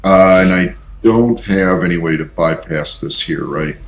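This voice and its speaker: A man playing a tabletop role-playing game, speaking casually in a measured, deliberate way. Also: low voice. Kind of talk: casual in-character tabletop play.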